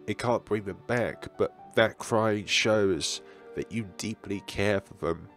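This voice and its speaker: A man talking in an earnest, reflective, halting way as a gaming channel host. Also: on the low side, fairly bright tone, soft background music. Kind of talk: reading visual novel text, reflective commentary.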